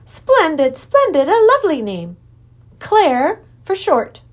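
A woman's voice: takes it slowly, full character voice. Woman doing a lisping, gushing creature king. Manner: high, gushing, excitable. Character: lovesick exuberant male Wangdoodle king, antlered creature. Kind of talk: storybook reading.

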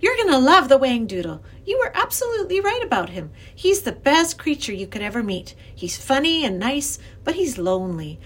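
An earnest young girl from a children's storybook, acted by a woman, high in pitch and swooping with excitement. She speaks insistently, pleading like someone who badly needs to be believed. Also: moderate pace, hardly any pauses. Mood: coaxing.

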